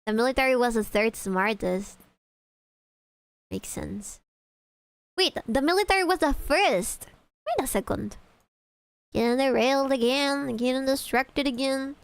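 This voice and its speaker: A woman's voice: on the high side, lively intonation, slow pace, creaky, pauses often. A woman streamer chatting casually, profane and easily sidetracked, reacting to videos.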